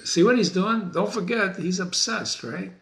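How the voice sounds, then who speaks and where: A man hosting a play reading, giving informal explanatory asides in an animated, casual way. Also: high voice, moderate pace, vocal fry, echoey room. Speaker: host of a dramatic reading series.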